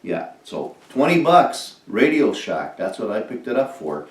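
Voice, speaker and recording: A man's voice: lowish voice, very animated, a little slow. A man from Canada talking casually as an outdoor vlog host, rambling in a hesitant, folksy way. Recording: roomy, distant mic, faint noise.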